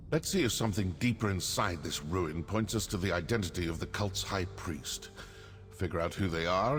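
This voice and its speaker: An actor playing a sly, wry assassin in a video game, talking in a theatrical yet conversational way. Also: male voice, on the low side. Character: sly, wry male Dark Brotherhood assassin, menacing yet curious. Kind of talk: video game character dialogue. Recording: long reverberant hall, music behind.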